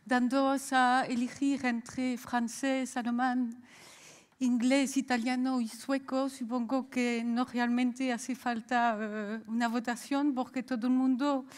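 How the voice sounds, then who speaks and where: Belgian woman w/ french accent, slow careful science talk, lots of little pauses, kinda high voice. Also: little pitch movement. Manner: measured lecturing with frequent hesitations. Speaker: science speaker. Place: stage of a lecture hall.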